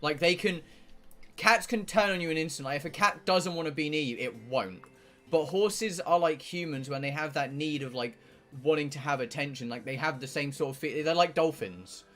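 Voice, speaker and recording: A man's voice: fairly high pitch, normal speed, a bit bright. A man from England with a southern English accent, chatting casually and bluntly on a livestream, rambling and swearing freely. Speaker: game streamer.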